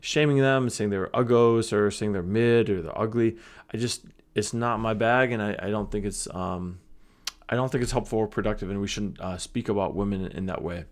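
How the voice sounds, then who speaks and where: A low-voiced man gives casual commentary on a clip. He speaks in a hesitant, measured way, often pausing to find his words. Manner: hesitant, many fillers and restarts. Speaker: reaction video host.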